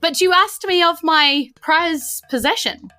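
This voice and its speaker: A woman voicing a courteous, evasive male noble in a tabletop game, speaking formally and haltingly. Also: very high-pitched, bright, forceful tone, faint music behind, pitched above the performer's own voice, full character voice. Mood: polite, evasive.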